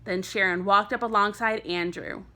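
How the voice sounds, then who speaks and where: A woman's voice: mid-range voice, steady tone, moderate pace, bright, forceful tone. A woman teacher narrating a children's chapter book expressively for young pupils. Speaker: teacher reading aloud to first graders.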